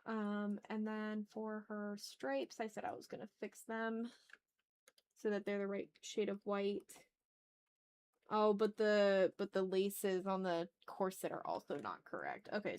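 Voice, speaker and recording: A woman's voice: mid-range voice, darkish tone. Casual woman art streamer, chatting and muttering. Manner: self-talking, repeats short phrases, mild exclamations. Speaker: streamer, artist hosting a live art stream. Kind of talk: casual livestream chat, muttering to self while drawing.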